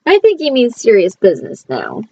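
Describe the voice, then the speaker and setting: Animated, high-voiced woman reading to children. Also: normal speed, creaky, dull audio. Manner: expressive, addresses young listeners with questions. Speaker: teacher reading aloud to children. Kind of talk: animated storybook narration with asides.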